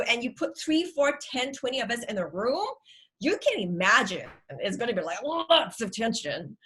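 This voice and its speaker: Energetic Singaporean woman giving a talk. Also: on the high side, breathy edge. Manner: energetic, upbeat. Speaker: workshop speaker. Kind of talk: online workshop presentation. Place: home room with virtual background. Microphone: video call.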